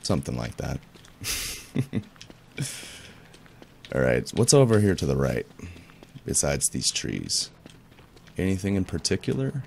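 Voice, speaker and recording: Deep-voiced man, rambling game commentary. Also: sing-song, a little slow, vocal fry, pauses often, darkish tone, a little hiss or hum. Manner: rambling, searches for words, laid-back. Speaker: gaming YouTuber. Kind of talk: casual let's-play commentary.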